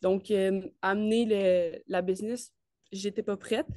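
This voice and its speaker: A woman's voice: medium pitch, steady tone, measured pace, mellow, no echo, studio quality. A woman of nineteen with a Quebec French accent, chatting casually and enthusiastically as an interview guest in colloquial speech. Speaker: interview guest, network marketer. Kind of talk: casual chat.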